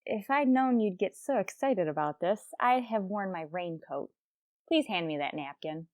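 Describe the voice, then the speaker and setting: Wry, deadpan radio comedy schoolteacher played by an actress. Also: female voice, medium pitch, moderate pace, slight background noise, lightly acted. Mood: dry, wry. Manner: deadpan, sarcastic asides. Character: wry, lovelorn female schoolteacher with dry wit. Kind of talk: old-time radio situation comedy acting.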